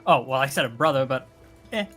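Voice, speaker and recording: A man's voice: mid-range voice, lively intonation, brisk, fairly bright tone. A man chatting casually as a tabletop game player, hesitantly piecing ideas together aloud. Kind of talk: casual chat. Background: faint music.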